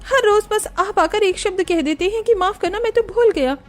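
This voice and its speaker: Woman playing a high-voiced, indignant young wife. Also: normal speed, faint music behind. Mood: angry, hurt. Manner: whiny, indignant, emphatic. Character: frustrated, complaining young wife and daughter-in-law. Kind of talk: Hindi family cartoon voice acting.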